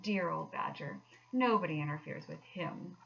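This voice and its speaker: A woman's voice: sits low, lively intonation, moderate pace, gravelly, highs cut off, full character voice. A woman voicing a confident, fussy male water rat in a children's storybook, chummy and emphatic. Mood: hesitant, guarded. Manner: chummy, emphatic, old-fashioned turns of phrase. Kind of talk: children's storybook read aloud.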